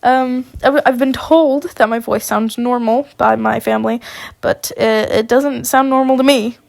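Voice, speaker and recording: A woman who is sick, narrating an amateur audiobook and rambling casually between chapters. Her voice sits a little high with a gravelly rasp. The recording is close and dry. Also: steady tone, a little slow, dry recording.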